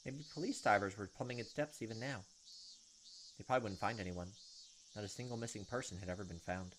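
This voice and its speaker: A man's voice: lowish voice, fast talker, background noise. A young man hosting a gaming video, reading visual novel narration aloud with casual asides. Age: young adult.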